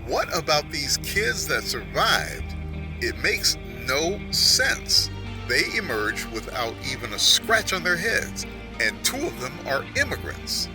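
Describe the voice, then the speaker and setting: A man plays an incredulous TV pundit in an audio drama. His accusing rant is animated and fairly high, with music clearly behind it. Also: slow. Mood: indignant, sneering. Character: indignant, suspicious male media pundit. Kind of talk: broadcast debate in an audio drama.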